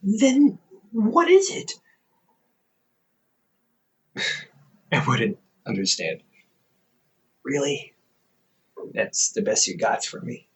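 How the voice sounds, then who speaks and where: A young man performs a caring older brother of about twenty in an intimate audio roleplay. He speaks slowly in a medium male pitch with very lively intonation. His teasing is soft and stubborn, broken by hesitant pauses. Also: full character voice. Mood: gentle, stubborn. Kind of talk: intimate audio roleplay, one-sided conversation.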